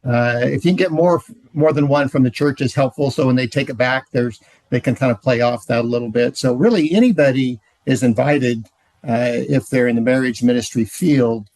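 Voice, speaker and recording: A man in his sixties, a marriage ministry director, sharing his experience on a video call with frequent pauses and fillers. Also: mid-range voice, a little mellow, no room sound. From Central California. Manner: hesitant, many fillers.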